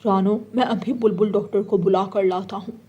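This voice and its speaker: A woman's voice: medium pitch, steady tone, moderate pace, slightly rough, dull audio, lightly acted. A woman playing a devoted, patient father bird who indulges his child, in a children's cartoon. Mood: caring. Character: devoted adult father bird, gentle, self-sacrificing.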